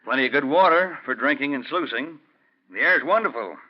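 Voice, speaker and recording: An actor playing a folksy, plain-spoken prospector in casual 1940s radio drama style. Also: male voice, on the high side, expressive, brisk. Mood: easygoing. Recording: dull, band-limited 1940s radio recording.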